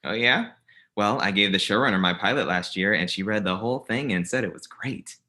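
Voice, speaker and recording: Man playing a low-voiced, competitive office rival. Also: fairly quick, pushed and bright. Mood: combative. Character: aggrieved, competitive young male office rival, smugly ambitious. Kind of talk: comedy table read.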